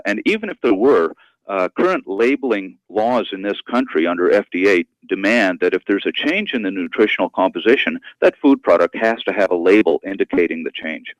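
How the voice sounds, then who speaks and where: Low-pitched man explaining as a guest expert. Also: no room sound, low-quality recording. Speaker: guest expert, university professor and author. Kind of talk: radio interview, explanatory talk.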